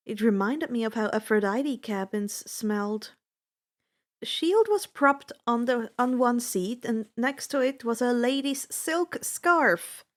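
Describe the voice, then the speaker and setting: A woman with a fairly high voice narrates fiction in the first person. She takes it very slowly and reads with expression, as in an audiobook.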